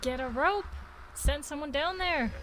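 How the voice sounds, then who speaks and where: A woman's voice: sits high, a little slow. A woman streamer giving casual, informal reaction commentary with a wry, joking manner. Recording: noisy, film audio behind voice. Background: sound of the horror video being watched.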